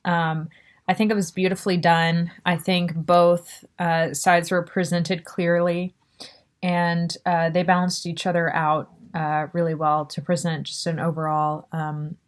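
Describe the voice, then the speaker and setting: A woman giving a considered spoken film review as an audience member, pausing often to find her words. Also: lowish voice, flat delivery.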